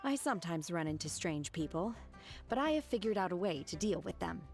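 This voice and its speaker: A woman voicing a calm, blunt disciple who speaks plainly and literally, in a video game. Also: fairly low pitch, a little fast. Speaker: disciple and restaurant worker. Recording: game audio with music behind.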